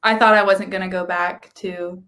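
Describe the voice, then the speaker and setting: A woman's voice: on the low side, fairly even intonation, normal speed, fairly bright tone. A young woman, a singing client who talks candidly and laughs at herself in an interview. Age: young adult. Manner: candid, self-deprecating, laughs often. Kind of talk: casual interview.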